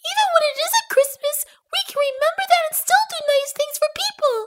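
A woman's voice: very high voice, fairly quick, roomy sound. A woman voicing an innocent, earnest little girl rabbit in a cartoon-like radio play. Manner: childlike, earnest. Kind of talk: cartoon-like audio drama acting. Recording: loud music under the voice.

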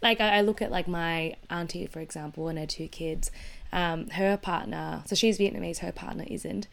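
A woman in casual conversation as a podcast co-host, animated and chatty, often saying "like". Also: on the low side, normal speed, creaky.

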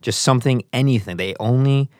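A man's voice: mid-range voice, fairly quick, darkish tone, no echo, clean studio sound. A man hosting a casual interview, asking curious, probing questions and repeating phrases for emphasis. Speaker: podcast host. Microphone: studio mic with headphones.

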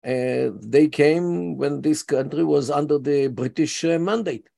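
A man's voice: on the high side, measured pace, creaky, mellow. A man in his seventies with an Israeli accent, speaking as a guest scholar in an interview, hesitant with many fillers but emphatic. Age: 70s. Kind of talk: interview conversation.

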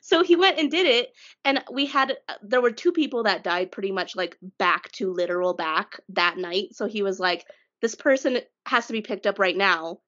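A woman co-hosting a podcast in casual chat, a little congested from a cold, telling stories in a lively, chatty way. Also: moderate pace, dull audio. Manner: chatty storyteller, lively pitch swings. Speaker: podcast co-host.

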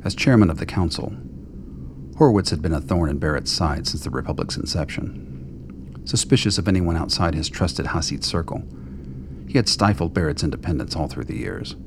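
A man with a very deep, gravelly voice narrates a scripted radio drama. His storytelling is measured, dry and literary, with faint noise behind it. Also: lively intonation.

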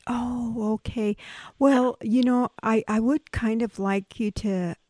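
A woman hosting a radio talk show, gentle and halting as she questions her guest. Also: fairly high pitch, steady tone, no echo. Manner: slow, gentle, halting with restarts. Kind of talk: casual radio interview.

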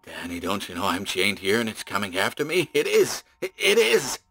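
A man voicing a terrified male radio announcer chained in a house, talking in frantic, pleading bursts that turn shrill. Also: animated, normal speed, creaky, sharp tone, husky, heavily acted. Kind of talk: audiobook character voice.

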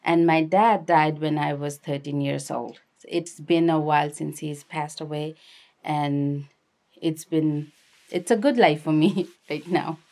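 Low-voiced young Nepali woman. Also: fairly even intonation, measured pace, a little mellow. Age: young adult. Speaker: interview guest, activist and filmmaker. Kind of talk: conversational personal storytelling.

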